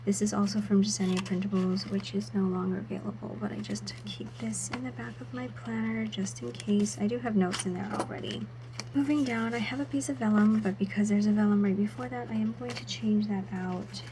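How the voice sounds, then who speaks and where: A woman vlogger narrating her planner setup in a soft, half-whispered voice, her throat still a little sore. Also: mid-range voice, fairly even intonation, a little fast, background noise. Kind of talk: casual voice-over while setting up a planner.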